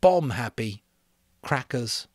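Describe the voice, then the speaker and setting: A man's voice: medium pitch, animated, slow pace, darkish tone, dry recording, clean studio sound, pitched above the performer's own voice. A man voicing an anxious, dignified and fussy schoolboy in a dramatic audiobook reading. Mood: earnest, pleading.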